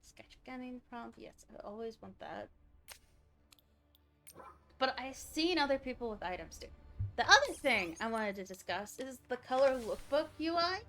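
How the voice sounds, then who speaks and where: A woman's voice: a little high, animated, moderate pace, a bit bright, soft background music. A woman livestreaming a game, chatting casually and thinking aloud as she reacts to what is on screen. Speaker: game streamer.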